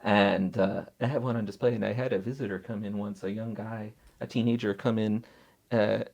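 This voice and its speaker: A man's voice: lowish voice, moderate pace, creaky, dull audio. A man from Mexico, around his thirties, working as a museum curator and talking casually, telling stories thoughtfully with bursts of laughter. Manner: thoughtful, lively storytelling with laughs. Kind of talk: casual conversation.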